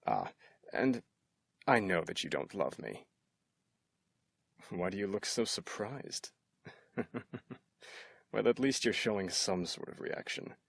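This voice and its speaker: A man's voice: low voice, very animated, slow pace, gravelly, pauses often, a bit bright, husky, heavily acted. A man playing an obsessive, possessive kidnapper in an intimate ASMR roleplay, sweetly menacing and teasing, often dropping into whispers. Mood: cold, teasing.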